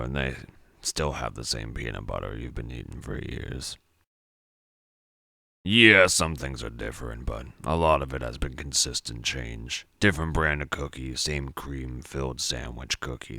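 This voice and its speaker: Man acting a gravelly, very deep ex-convict. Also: normal speed, pushed and bright, no room sound, clean studio sound, exaggerated voice. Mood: weary, reflective. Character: Joey, bitter, world-weary male ex-convict smoker. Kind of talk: dramatised story dialogue.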